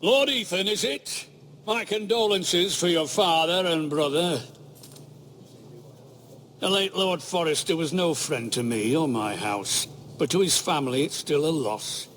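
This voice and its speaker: Gloating, hateful older rival lord. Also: male voice, fairly high pitch, unhurried, fairly bright tone, a little hiss or hum. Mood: mock courtesy. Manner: taunting, contemptuous. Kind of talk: dramatic video game acting.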